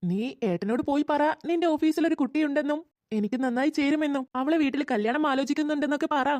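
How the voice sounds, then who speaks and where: A performer voicing a shy young man in a cartoon, coaxing and pleading in a pitched-up voice. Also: quick pace, darkish tone, no room sound. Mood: bashful pleading. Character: shy, coaxing young bachelor older brother. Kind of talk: cartoon voice acting.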